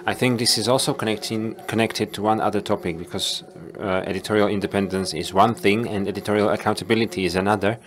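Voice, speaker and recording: Guy moderating a panel, lowish voice, measured and a bit halting. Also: fairly even intonation, a crowd in the background.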